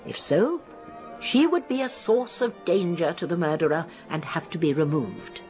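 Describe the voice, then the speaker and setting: Older English spinster sleuth played by a woman, radio drama, lilting low-set voice, genteel, music underneath. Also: lively intonation, moderate pace, darkish tone, close to an everyday voice. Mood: musing. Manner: musing, precise, genteel. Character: shrewd elderly English spinster sleuth, gently certain.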